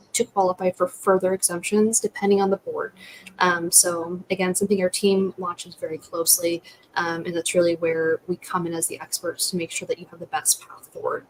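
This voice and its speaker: A woman's voice: fairly low pitch, rough recording. A young woman giving methodical, advisory answers as a licensing expert on a webinar panel. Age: young adult. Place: indoor room with curtains. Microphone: computer microphone on video call. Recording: faint background noise on video call.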